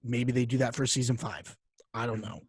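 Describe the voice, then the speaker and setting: A man chats as a podcast co-host in a medium-pitched voice. His delivery is informal and jokey, loose with swearing. The sound is dry, with very little room in it. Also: steady tone, moderate pace, breathy edge, dry recording. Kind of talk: casual podcast chat.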